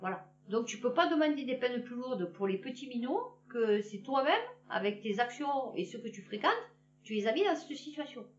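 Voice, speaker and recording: A woman with a Marseille accent rants in a blunt, slangy way and chuckles at her own jokes. Her fairly high voice swings up and down a lot. She sits back from the mic in an echoey room, and the sound is a little dull. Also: animated, normal speed, distant mic. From Marseille, France. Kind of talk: casual political rant to camera.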